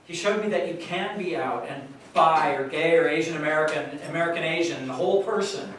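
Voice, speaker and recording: A man acting an earnest, troubled youth worker bares himself in an emphatic, confessional stage monologue. His pitch is a little high, and he sounds far from the mic in a very echoey room with faint noise. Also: a little fast, a bit bright, natural voice. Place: live staged reading in a large room.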